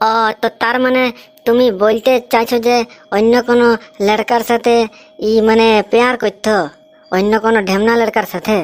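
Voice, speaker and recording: A woman's voice: on the high side, little pitch movement, normal speed, slight background noise, natural voice. A woman voicing a brash, grumbling young cartoon bridegroom, blunt and mocking. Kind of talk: cartoon comedy dialogue.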